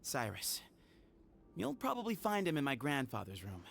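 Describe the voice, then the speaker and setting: Calm, earnest young desert temple heir. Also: male voice, mid-range voice, normal speed, gravelly, faint music behind. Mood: wistful, wry. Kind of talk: scripted video game dialogue.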